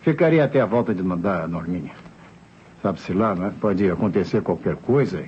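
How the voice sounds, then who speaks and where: An actor playing a worried, dutiful family doctor who hesitates and repeats himself, in 1960s radio drama style. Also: male voice, low voice, expressive, normal speed. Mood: concerned, resolute. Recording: muffled, faintly noisy old radio recording.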